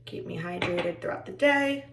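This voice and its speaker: Raspy tired young woman vlogging. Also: on the low side, lively intonation, normal speed, echoey room, distant mic, loud music behind the voice. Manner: chatty, rambling, talks to viewers as friends. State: tired and low-voiced. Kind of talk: casual vlog chat. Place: indoors at home.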